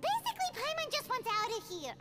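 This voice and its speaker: A woman voicing a tiny, childish, excitable fairy companion in a video game, whiny and referring to herself in the third person. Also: extremely high pitch, brisk, background noise. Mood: bored, whiny. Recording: music loud behind the voice.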